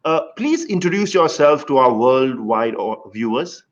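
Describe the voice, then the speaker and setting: A man's voice: medium pitch, sing-song, unhurried, muffled recording. A man hosting an online interview, asking questions with lots of fillers. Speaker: interview host.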